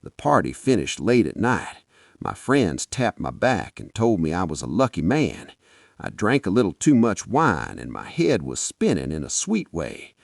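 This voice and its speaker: A man's voice: deep voice, huge pitch swings, unhurried, creaky, dry recording, studio quality. A man with a Nigerian accent narrating a folktale dramatically in the first person, as a podcast storyteller.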